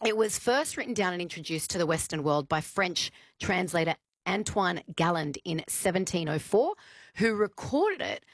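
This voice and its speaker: A woman co-hosting a radio show, chatting in a lively way and reading out listener texts, with lots of fillers. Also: on the low side, moderate pace, no echo. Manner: lively, animated storytelling with many fillers. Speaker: radio co-host. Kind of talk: casual radio chat.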